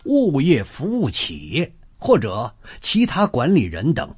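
A man's voice: medium pitch, wildly swooping pitch, unhurried, a little mellow, slightly rough, soft background music, heavily acted. An actor playing a chatty retired courthouse doorman in a Chinese radio drama, an older man with a Beijing accent and a folksy, theatrical storytelling manner. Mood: fussy, urgent. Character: chatty retired courthouse doorman, eager neighborhood law volunteer. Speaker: storytelling host and lead character of a radio drama.

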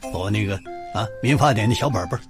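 A man's voice: lowish voice, fast talker, over music, lightly acted. An actor playing a chatty retired courthouse doorman in a Chinese radio drama, an older man with a Beijing accent and a folksy, theatrical storytelling manner. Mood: fussy, urgent. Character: chatty retired courthouse doorman, eager neighborhood law volunteer. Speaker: storytelling host and lead character of a radio drama.

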